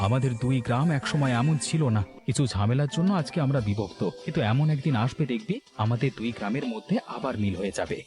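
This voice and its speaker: Man acting a lively, caring village father. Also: medium pitch, fast, hardly any pauses, over music, lightly acted. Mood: reassuring. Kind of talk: Bengali cartoon dialogue.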